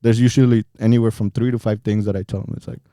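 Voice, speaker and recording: Podcast guest, young man, outspoken and emphatic, warm low timbre. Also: little pitch movement, fast, no room sound, studio quality. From Mexico. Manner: blunt, swears freely, emphatic. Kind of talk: casual chat.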